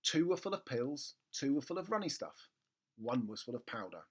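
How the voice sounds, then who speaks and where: A man's voice: mid-range voice, sing-song, normal speed, breathy edge. A man around forty reading a children's story expressively, close on a mic in a living room. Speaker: storybook reader. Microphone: close studio mic with pop filter.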